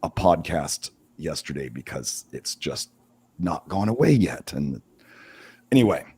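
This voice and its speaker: A middle-aged man with a hoarse voice hosting a casual livestream, talking in halting bursts with many restarts. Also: extremely low pitch, very animated, dark tone. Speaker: host.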